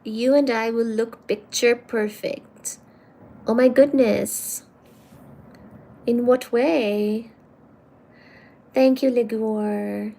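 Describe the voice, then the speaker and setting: A woman's voice: on the high side, fairly even intonation, drawn-out words, creaky, long pauses, warm tone. A woman hosting a livestream, chatting casually and teasingly as she reads out viewer comments. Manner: playful and teasing, calls viewers darling. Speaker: livestream host.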